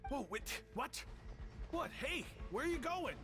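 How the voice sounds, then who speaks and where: An actor playing an excitable, chatty, easily flustered young man in an anime video game. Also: very high-pitched, unhurried, loud music behind the voice. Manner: exclamatory, chatty.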